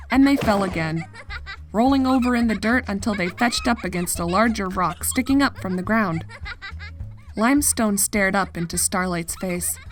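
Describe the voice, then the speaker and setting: A woman's voice: mid-range voice, a bit bright. A woman narrating scripted fiction as a storyteller.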